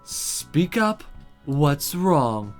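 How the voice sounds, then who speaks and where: Polite, watchful lady mage instructor, read by a woman: measured game character, slow pace, faint music behind. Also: deep voice, sing-song, exaggerated voice. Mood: mildly suspicious. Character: female magic teacher, mild, attentive, suspicious.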